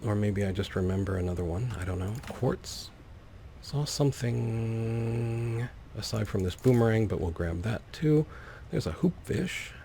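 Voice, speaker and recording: A man's voice: fairly low pitch, animated, a little slow, warm tone. A man giving casual, playful gameplay commentary as a let's play host, rambling with wry asides. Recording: faint background noise.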